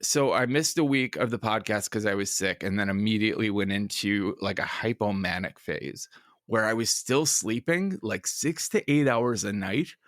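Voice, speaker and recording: Low-voiced man in casual podcast chat. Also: normal speed, a bit bright, no room sound, studio quality. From Minnesota. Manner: rambling, self-deprecating, many fillers. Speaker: podcast co-host.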